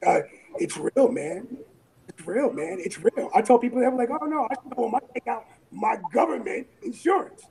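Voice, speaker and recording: A woman from Brooklyn with a raspy voice, talking in a rambling, emphatic way in an interview, often repeating phrases. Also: fairly high pitch, lively intonation, normal speed, dark tone, low-quality recording. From Brooklyn, New York. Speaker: interviewee, former firefighter and EMT. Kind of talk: casual interview talk.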